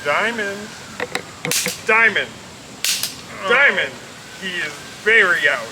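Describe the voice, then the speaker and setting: A man playing a formal, deadpan and touchy thug sidekick in an old-time radio detective play. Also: sits high, slow pace. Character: touchy, well-mannered male thug sidekick called Salvador. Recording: noisy live recording, steady room noise.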